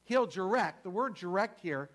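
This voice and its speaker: A man's voice: sits high, expressive, moderate pace, a bit bright. A man preaching a sermon emphatically, repeating phrases and putting questions to the congregation.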